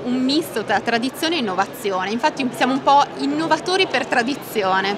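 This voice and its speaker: A woman, explaining things enthusiastically in a conversational interview as a company executive. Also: a little high. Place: open trade-show stand. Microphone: handheld microphone held by interviewer. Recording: busy trade-fair crowd noise. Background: crowd bustle.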